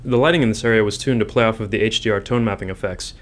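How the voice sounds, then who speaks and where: A man's voice: fairly low pitch, rapid-fire. A man reading scripted commentary as a game developer.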